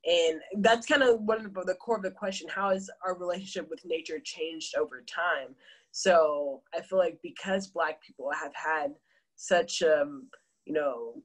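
An interviewer speaking thoughtfully and reflectively over a video call, pausing often with "um" while framing questions. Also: medium pitch, poor audio. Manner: thoughtful, reflective, with frequent "um" pauses. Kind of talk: casual conversational interview.

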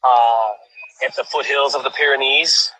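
Bright, husky-voiced enthusiastic man. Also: medium pitch, steady tone, no room sound, rough recording. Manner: enthusiastic, halting with many restarts and fillers. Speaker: guest, cyclist. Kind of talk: casual video call chat. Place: cycling town, showing a cafe on camera.

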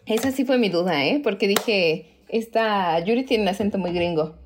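A woman's voice: mid-range voice, moderate pace, noisy background. Chatty young Mexican woman, laughing often. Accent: Mexican Spanish. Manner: chatty, laughs often, acts out her own reactions in quotes. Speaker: podcast co-host. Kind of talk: casual chat.

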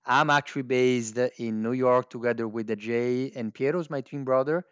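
Guest: Italian-accented man, mid-pitch, joking and friendly, dry close mic. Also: moderate pace, smooth, no room sound, lo-fi sound. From Italy. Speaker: guest, tech company co-founder. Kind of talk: casual podcast interview.

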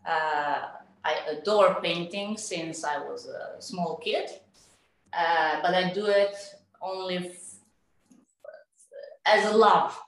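A woman with a Serbian accent giving casual interview answers, haltingly searching for words in English. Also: fairly low pitch, a bit bright, heavy reverb, poor audio. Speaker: interview guest, tennis coach and painter.

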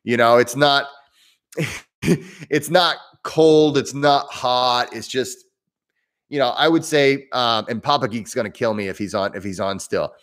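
A man's voice: mid-range voice, measured pace, fairly bright tone. Man, southern Indiana accent, casual livestream host, rambling, chatty, stumbles and restarts. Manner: chatty, rambling, frequent repeated words and restarts. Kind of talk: casual livestream chat answering viewer questions.

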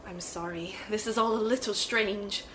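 A woman's voice: mid-range voice, moderate pace, fairly bright tone, slight background noise, lightly acted, low-quality recording. A woman playing an anxious, grieving wife seeking a detective's help, hesitant and apologetic, in an audio drama.

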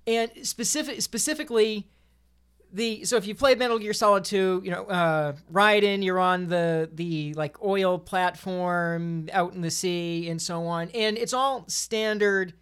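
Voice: medium pitch, steady tone, a little slow, a bit bright, smooth. A speaker chatting casually about video games on a podcast in a rambling, stammering way, often repeating phrases.

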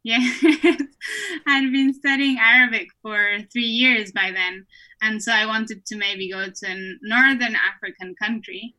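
A woman's voice: a little high, measured pace, sharp tone, poor audio. A woman in her twenties from Peru, a guest on an online podcast, telling her story in a friendly way and laughing often. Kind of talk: casual interview storytelling. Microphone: remote online recording.

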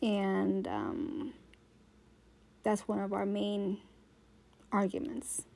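A woman with a mid-range voice speaks slowly and carefully. She pauses to weigh her words. The delivery is calm and conversational. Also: mid-range voice, gravelly. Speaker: interviewee, wife and mother. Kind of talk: casual chat.

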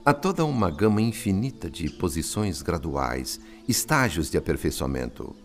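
An actor with a Brazilian accent playing a wise, kindly spirit friend in a radio drama, speaking in a theatrical, didactic way. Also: male voice, sits low, very animated, moderate pace, faint music behind. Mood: earnest, instructive. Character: wise, kindly male spirit friend narrating his afterlife story.

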